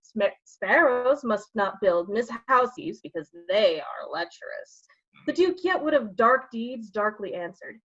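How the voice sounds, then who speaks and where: A woman's voice: on the high side, expressive, unhurried, fairly bright tone. Dull-sounding cheeky gossiping rogue, played by a woman. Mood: bawdy, jaunty. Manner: cheeky, insinuating, theatrical. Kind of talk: staged reading of Shakespeare verse and prose.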